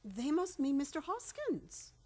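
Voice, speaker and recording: A woman's voice: high-pitched, very animated, a little slow, darkish tone. A woman playing a fluttery, scolding, innocent old aunt in a live old-time radio play.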